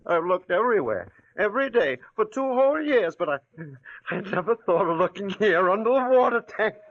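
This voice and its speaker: An actor playing a greedy, jittery rancher, folksy and excitable, in an old radio drama. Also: male voice, high-pitched, a little fast, full character voice. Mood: greedy, excited. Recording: muffled 1940s radio recording.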